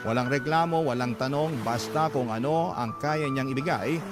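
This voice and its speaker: Guy narrating a dramatic story, medium pitch, music playing behind him. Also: normal speed. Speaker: narrator of a listener's letter.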